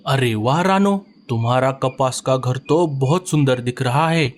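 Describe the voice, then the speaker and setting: A man's voice: mid-range voice, measured pace, slight background noise, close to an everyday voice. A man voicing a friendly male bird in a cartoon story, speaking politely and gratefully.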